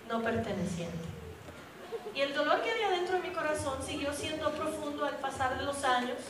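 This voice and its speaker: A young woman giving a lively, humorous church testimony and speaking directly to the congregation. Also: sits high. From raised in Puerto Rico. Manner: lively storytelling, addresses the audience directly, with humor. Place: church hall. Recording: echoey hall, distant mic, noisy.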